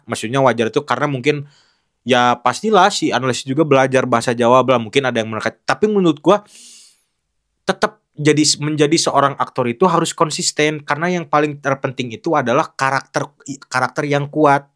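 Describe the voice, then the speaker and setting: Young guy casually picking apart film acting, blunt, lots of ums and restarts, mid-pitched voice. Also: a little fast, a bit bright. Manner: frank and critical, loose with many fillers and restarts. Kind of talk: casual spoken commentary on film clips.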